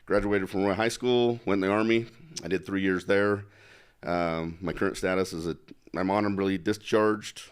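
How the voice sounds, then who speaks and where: A man from Utah with a very low, deep voice speaks plainly and bluntly. He is middle-aged and loosens his points with fillers and restarts, as an interview guest. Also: fairly even intonation, moderate pace, smooth. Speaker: congressional candidate. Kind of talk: casual interview talk.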